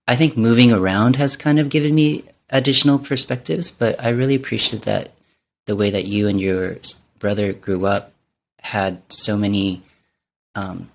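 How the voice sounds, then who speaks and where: A man's voice: lowish voice, steady tone, moderate pace, vocal fry. A man born in Vietnam hosting a casual interview, responding with encouraging, affirming remarks. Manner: warm, encouraging, affirming replies. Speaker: podcast host.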